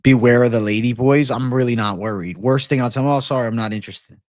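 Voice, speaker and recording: A man's voice: mid-range voice, fast, darkish tone, no room sound, clean studio sound. A man hosting a casual livestream, chatting and answering viewers in slangy, profane, bro-heavy banter. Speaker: livestream host.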